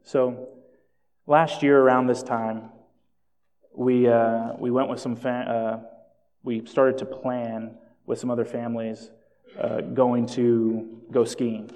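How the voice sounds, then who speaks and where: A man's voice: mid-range voice, level tone, slow, darkish tone. A man born in Jamaica and raised in South Florida, preaching a sermon and telling stories with self-deprecating asides. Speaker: pastor. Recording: long hall reverb.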